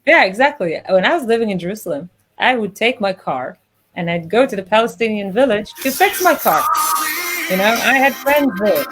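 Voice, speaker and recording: A woman's voice: mid-range voice, fairly quick, soft background music. Chatty woman host from Israel. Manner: chatty, animated, laughs easily. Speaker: podcast host. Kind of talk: casual interview chat.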